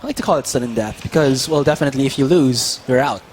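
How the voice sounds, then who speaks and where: A man's voice: mid-range voice, fast talker. A man giving eager live play-by-play commentary on a competitive video game. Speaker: esports commentator. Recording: loud music and game sound behind. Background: game audio and music.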